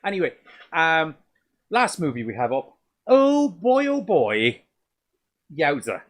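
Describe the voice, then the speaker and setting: A man's voice: wildly swooping pitch, slow. A man, co-hosting a casual movie review podcast, rambling and swearing as he goes. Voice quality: deep voice. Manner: sweary, rambling, restarts often. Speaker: podcast co-host.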